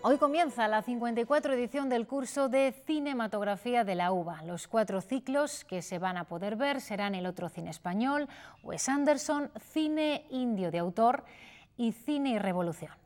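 Clear, steady TV news anchor woman. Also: on the high side, moderate pace, faint music behind. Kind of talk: read news presentation. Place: TV news studio.

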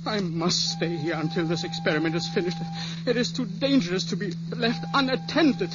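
A man's voice: high-pitched, normal speed, over loud music, natural voice. An actor playing an anxious, pleading elderly scientist and devoted father in an old-time radio drama, on a muffled vintage broadcast.

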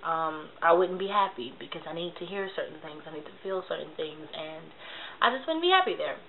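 A woman's voice: deep voice, lively intonation, a little fast, gravelly. A young woman with a congested, nasal voice chats casually to camera, giving advice in slangy asides and smacking her lips as she talks. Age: young adult. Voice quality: nasal from a cold. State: congested, slightly sick. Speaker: video blogger giving relationship advice. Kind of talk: casual confessional chat to camera. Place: small bedroom, indoors. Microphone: webcam mic at arm's length. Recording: tinny lo-fi webcam audio with hiss.

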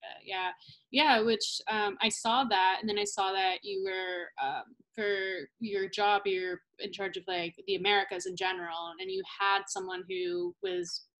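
A woman's voice: medium pitch, measured pace, a bit bright, poor audio. A young woman interviewing a student over a webcam video call from a small room at home, asking friendly, rambling questions. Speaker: interviewer for language school. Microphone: laptop webcam on video call.

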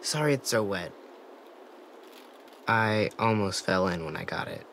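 A man voicing a timid, guilt-ridden gentle young boy in a video game, hesitant and apologetic. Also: low voice, lively intonation, unhurried, slight background noise, heavily acted. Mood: sad, subdued. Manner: hesitant, soft-spoken, apologetic. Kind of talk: naturalistic video game dialogue acting. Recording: clear outdoor stream ambience.

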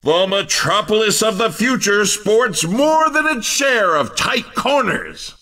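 An actor playing an excitable, over-the-top race commentator in a video game, calling out showy, punning hype. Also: male voice, sits high, takes it slowly, far from the mic, full character voice. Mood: excited hype.

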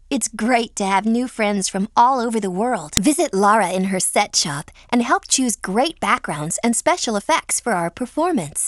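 A woman voicing a friendly teenage high school girl who gives clear, upbeat instructions in a dance video game. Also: slight background noise, lightly acted.

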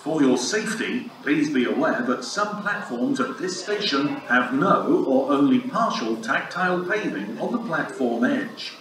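A man's voice: mid-range voice, unhurried, few pauses, background noise. Echoing man on station speakers. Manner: calm, formal, even delivery. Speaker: automated station announcer. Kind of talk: recorded safety announcements. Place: railway station platform. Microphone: station loudspeakers recorded from far off. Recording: very echoey platform speakers from far off. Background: station ambience.

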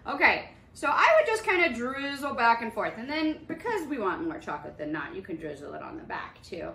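A woman hosts a kids' cooking class in a high, very lively voice. She talks playfully to a toddler in a sing-song way, recorded in an echoey room. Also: fairly quick, gravelly. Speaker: preschool teacher hosting a children's cooking class. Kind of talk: child-directed cooking demonstration. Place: small indoor playroom kitchen set. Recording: echoey room with some background noise.

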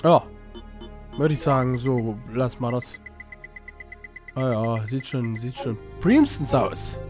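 A man with a cold and a hoarse voice, casually commenting on a game he is playing, rambling and reading in-game text aloud. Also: mid-range voice, lively intonation, slow pace, over music. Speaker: let's play host.

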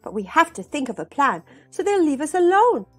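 Woman as an urgent high-pitched ant general. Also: big pitch swings, brisk, soft background music. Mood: resolute. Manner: urgent, emphatic. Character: worried, defiant male ant general of a colony.

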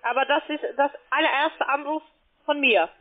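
High-pitched woman on the phone. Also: normal speed. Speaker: call centre sales agent. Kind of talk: unscripted sales phone call. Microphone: telephone. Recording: muffled tinny phone line.

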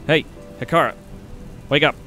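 A man's voice: on the high side, moderate pace, sharp tone, faint music behind. A man playing a weary, determined mage in a fantasy audio drama. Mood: concerned.

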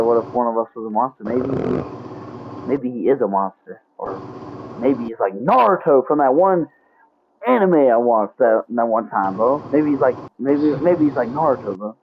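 A man's voice: medium pitch, lively intonation, normal speed. A young man improvising a casual gaming roleplay in a slangy, repetitive way, as a player chatting with friends. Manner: slangy, repeats himself. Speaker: player in a Minecraft roleplay video. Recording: muffled, noisy gaming mic recording.

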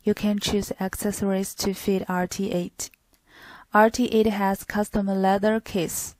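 A woman's voice: medium pitch, little pitch movement, a little slow, a little mellow, no room sound, poor audio. A woman reading scripted product demo narration in a stilted, word-by-word manner.

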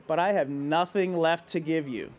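A man reading as a gentle old tree. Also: on the high side, moderate pace, voice pushed down, close to an everyday voice. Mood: sorrowful. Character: gentle giving tree, female, old and loving.

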